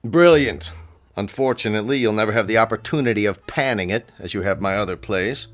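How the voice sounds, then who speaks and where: An actor playing an urbane, middle-aged bachelor playwright with a suave, formal way of speaking, in a radio drama. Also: male voice, fairly low pitch, fairly quick, close to an everyday voice. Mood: dry, aloof. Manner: suave, dry, formal phrasing. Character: urbane, aloof bachelor playwright, secretly romantic. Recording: studio radio drama with music underneath.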